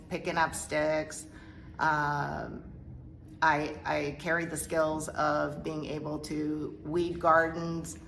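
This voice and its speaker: A middle-aged woman from Korea speaks slowly in a low, gravelly voice. She talks hesitantly, with pauses and fillers, as she tells her story in an interview. A faint hiss sits under her voice. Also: rough recording. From South Korea. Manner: hesitant, halting, soft-spoken with many fillers. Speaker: interview guest. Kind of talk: personal testimony interview.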